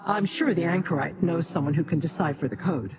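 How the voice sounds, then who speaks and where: A game actor voicing a haughty, imperious demonic being who talks down to mortals in formal, measured speech. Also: medium pitch, a little mellow, close to an everyday voice. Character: haughty, imperious Daedra ally, scornful of mortals. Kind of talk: scripted video game dialogue. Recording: music behind the dialogue.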